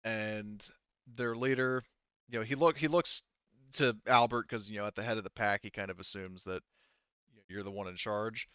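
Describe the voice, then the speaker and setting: A man improvising narration as a tabletop game master, casual and halting as he thinks aloud. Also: on the low side, fairly even intonation, a bit bright, smooth, dry recording, lo-fi sound.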